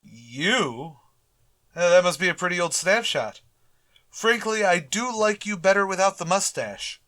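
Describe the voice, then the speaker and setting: A man plays a mild and naive male teacher in a radio comedy. He is polite and earnest, with a slightly high, bright voice. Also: expressive, a little slow, full character voice. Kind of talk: old-time radio sitcom acting.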